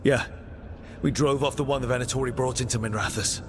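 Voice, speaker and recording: An actor playing an earnest, skeptical hero in a fantasy video game, plain-spoken and challenging. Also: male voice, medium pitch, fairly even intonation, a little fast, in a large hall, background noise, close to an everyday voice. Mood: serious, wary. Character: earnest, defiant young male hero, skeptical and blunt.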